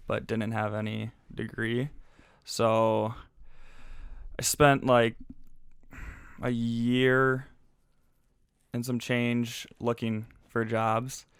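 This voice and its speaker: A man in his twenties from Minnesota, a podcast guest telling his story in relaxed, easygoing casual chat. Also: medium pitch, smooth, no room sound, studio quality. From Minnesota, USA. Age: 20s.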